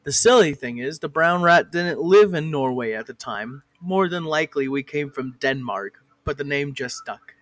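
A man's voice: on the high side, animated, moderate pace, hardly any pauses, a bit bright, no echo. A man narrating a comic fan parody of an educational cartoon, breaking off to swear and argue with a director.

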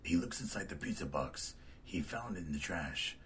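A man's voice: deep voice, lively intonation, normal speed, a bit bright, slight background noise. A man reading scripted narration that describes comic panels.

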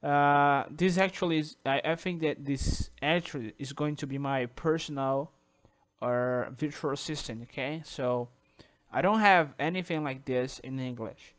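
A man whose first language is Portuguese, talking through a coding tutorial over a screen recording, halting with restarts and checking in with "okay?". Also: a little high. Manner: halting, many restarts and "uh", tags sentences with "okay?". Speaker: programming tutor.

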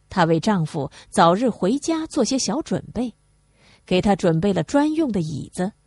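A woman's voice: medium pitch, darkish tone, slightly rough, no echo. A woman reading a novel aloud as a scripted audiobook narration.

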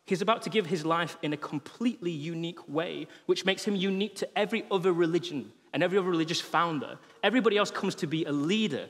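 A man in his thirties gives a sermon from a stage, his headset mic catching a chatty, humorous delivery. His voice sits a little on the high side for a man. The large church hall carries a long, lingering reverb behind every sentence. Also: vocal fry. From England. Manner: conversational and humorous, with animated gestures. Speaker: pastor.

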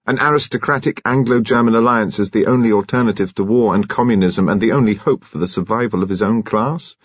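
A man voicing a calm, courteous but firm English police detective in an audiobook, quiet and measured. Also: lowish voice, slightly rough, no echo, highs cut off. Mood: measured, grave.

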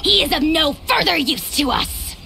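A woman voicing a tiny, haughty, scornful male dragon lord in a video game, shrill and pompous. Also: expressive, normal speed, creaky, pushed and bright, a little hiss or hum, exaggerated voice. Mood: scornful, imperious.

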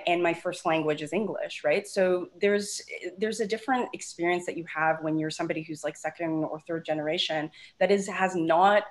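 A woman from Canada speaking as a journalist on a panel over a video call, frank and earnest, slowing down to stress points. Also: on the low side. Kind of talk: panel discussion.

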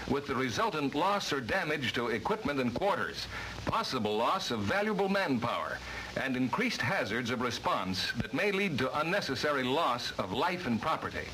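A man's voice: a little high, pushed and bright, a little hiss or hum, rough recording. A man reading formal, precise instructional narration for a vintage training film, on a worn old soundtrack.

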